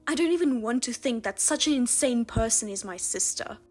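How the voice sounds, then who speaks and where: A woman voicing a betrayed older sister who talks in a flat, cold, restrained way. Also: a little high, fairly quick, faint music behind. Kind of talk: narrated drama voice-over.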